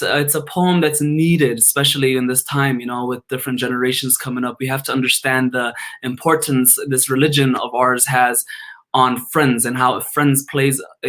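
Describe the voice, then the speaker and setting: A man with a medium-pitched voice that is a little raspy after a long day. He introduces himself and his poem in a calm, conversational way. He is heard over a video call. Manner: introduces himself with a formal Arabic opening. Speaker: poet.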